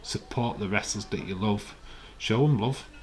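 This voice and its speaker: A man with a low, croaky voice rambles through a casual live-stream chat. He reads out viewer comments as he goes, with a faint hiss behind him. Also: a little slow, a little mellow, low-quality recording. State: hoarse, croaky voice.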